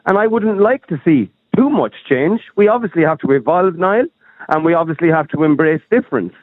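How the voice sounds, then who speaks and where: A man calling in to a radio show, speaking earnestly with halting restarts and repeated words. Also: a little high, animated, no room sound. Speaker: caller. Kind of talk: phone-in opinion. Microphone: telephone. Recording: tinny phone line.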